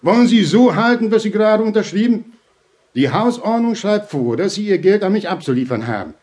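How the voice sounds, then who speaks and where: Sanctimonious father figure, rather high voice, pompous drawn-out emphasis, unctuous, domineering, audio drama. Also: animated, a little mellow. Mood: smooth, domineering. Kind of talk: German audio drama acting.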